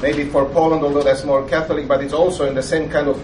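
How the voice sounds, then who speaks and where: A man with a Romanian accent asking a halting, hesitant question from the audience in a lecture hall. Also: fairly high pitch, little pitch movement. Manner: hesitant, many fillers. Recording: echoey hall, voice from far off, background noise.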